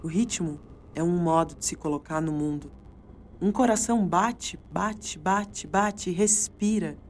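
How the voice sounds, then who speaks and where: A woman's voice: on the low side, measured pace, slight background noise. A woman performing a reading of a poetic dramatic monologue.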